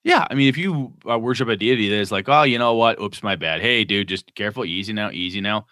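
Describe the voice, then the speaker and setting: Low-voiced North American man, casual talker. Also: fast, bright, forceful tone, no room sound, clean studio sound. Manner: informal, slangy. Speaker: podcast co-host. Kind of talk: casual discussion, reading listener messages aloud.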